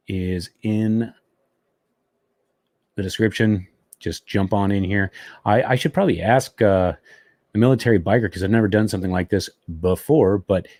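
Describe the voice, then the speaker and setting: A man's voice: lowish voice, darkish tone, no echo, clean studio sound. A man hosting a motorcycle live stream from home, chatting casually and easygoing, with fillers. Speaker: motovlog live stream host.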